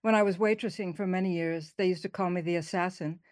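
Soft-spoken woman poet, dry sound. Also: fairly low pitch, steady tone, no echo. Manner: soft-spoken, laughs often, halting with many fillers. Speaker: poet and author, interview guest. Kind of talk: casual interview conversation.

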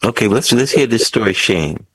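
A man's voice: on the low side, animated, normal speed, fairly bright tone, slightly rough. A man hosting an interview, asking with hesitant, brief prompts. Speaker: host.

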